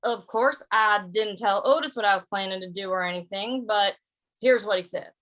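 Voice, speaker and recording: A woman's voice: mid-range voice, moderate pace, fairly bright tone, close to an everyday voice. A woman playing an easygoing, laconic male cowboy in an old-time western radio drama, with a folksy, hesitant, stammering delivery. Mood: folksy, resigned.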